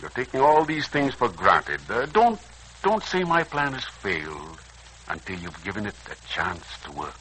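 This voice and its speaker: An actor playing a kindly older uncle in a 1940s radio serial, coaxing and persuasive in a theatrical, earnest way, with a raspy voice. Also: male voice, on the low side, very animated, normal speed, a bit bright, slight background noise, poor audio. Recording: old 1940s radio recording.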